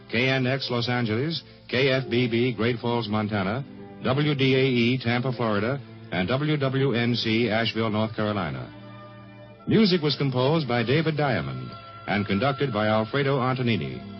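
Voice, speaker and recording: American radio announcer over music, lo-fi. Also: male voice, medium pitch. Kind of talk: formal 1950s network radio announcing. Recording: dull lo-fi 1950s radio recording.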